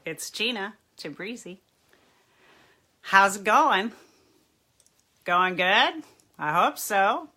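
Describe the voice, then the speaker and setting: A middle-aged woman therapist chatting casually on a live stream, her voice a little raspy from overuse, greeting viewers by name. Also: medium pitch, lively intonation, extremely slow, long pauses, sharp tone. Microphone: phone or webcam, live stream.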